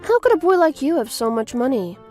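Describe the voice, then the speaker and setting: A woman's voice: high-pitched, very animated, a little fast, a little mellow. Manga dub role: self-important woman teacher, pointed delivery female performer, music bed. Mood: cold. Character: boastful female schoolteacher turned cold and accusing.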